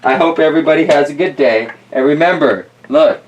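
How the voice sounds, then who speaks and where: A man's voice: on the high side, fairly quick, distant mic, highs cut off, a little hiss or hum. A man reading a children's story aloud, with casual, folksy, rambling asides as host.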